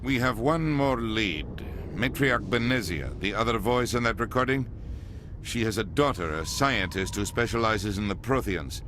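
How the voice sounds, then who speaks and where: A man's voice: fairly low pitch, animated, normal speed, background noise, natural voice. A man playing a stern, demanding human diplomat in a video game, formal and authoritative. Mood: businesslike. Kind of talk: dramatic video game dialogue.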